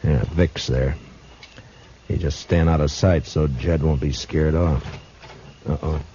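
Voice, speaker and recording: An actor playing a stern, steady Old West lawman in a terse, commanding, unhurried radio western style. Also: a bass voice, slight background noise. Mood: hushed, tense. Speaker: lead actor and narrator. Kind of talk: 1950s radio western acting. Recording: dull old radio recording.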